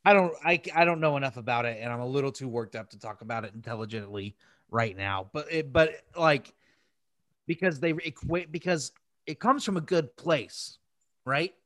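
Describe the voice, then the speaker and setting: A man's voice: medium pitch, moderate pace, fairly bright tone, no echo, studio quality. A man hosting a casual podcast, ranting in an exasperated, opinionated and profane way. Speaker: podcast host.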